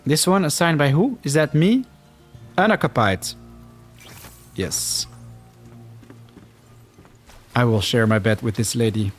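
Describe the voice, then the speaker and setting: A man's voice: mid-range voice, takes it slowly, long pauses, faint music behind. A man from the Netherlands with a Dutch-Flemish accent, chatting casually as he streams a game live, reacting to the action and reading viewer comments aloud.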